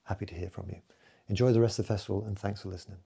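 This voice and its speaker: A man in his late fifties talks to camera from a room at home, his low voice gravelly and dark. He speaks reflectively and hesitantly, with frequent fillers. His softer passages fade almost to nothing, and a husky edge runs through it. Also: fairly even intonation, very fast. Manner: reflective, hesitant, many fillers, trailing off softly. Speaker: photographer giving a recorded festival talk. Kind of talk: recorded talk to camera. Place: home room with bookshelves, indoors.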